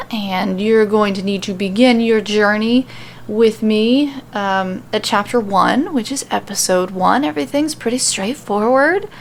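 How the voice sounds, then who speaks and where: Female podcast host and story reader, creaky mid-pitched voice, informal, self-deprecating, faint noise on the recording. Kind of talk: casual podcast intro and fan fiction narration.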